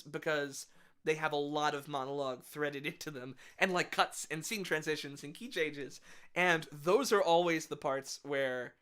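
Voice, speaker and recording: Chatty singer with a bright, tense voice. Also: mid-range voice. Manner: rambling, chatty, quick asides with little laughs. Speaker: musician performing a live set. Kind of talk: casual chat between songs.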